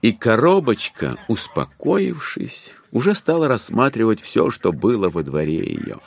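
Expressive low-voiced male storyteller. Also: measured pace, vocal fry. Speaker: narrator. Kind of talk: dramatised literary storytelling.

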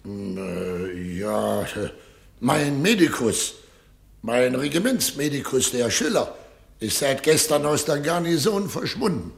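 A rattled old general in a radio play, gravelly and halting, tripping over his words with deference. A roomy echo hangs around him. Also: medium pitch, lively intonation. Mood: flustered. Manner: stammering, hesitant, deferential. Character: old, flustered male army general. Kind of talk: radio drama acting.

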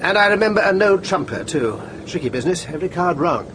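A brisk army major talks over clear vehicle noise in a radio drama. His voice sits slightly high and swings with lively intonation. He is blunt, clipped and offhand. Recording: noisy vehicle ambience behind dialogue.

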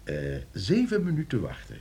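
Smug, cold-blooded schemer, animated pitch swings. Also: male voice, moderate pace, vocal fry, full character voice. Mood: coolly explaining. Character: smug, cold-blooded schemer playing a sinister game with friends. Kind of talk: radio play acting.

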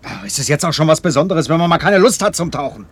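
A man's voice: a little high, animated, fast, slightly rough. An actor in a German radio drama playing an irritable, evasive man who gives curt, grumbling, dismissive replies to his friend. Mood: irritated.

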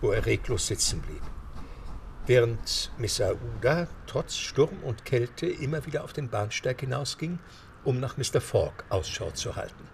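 A man's voice: mid-range voice, gravelly, slight background noise. A man narrating a scripted audio drama as storyteller.

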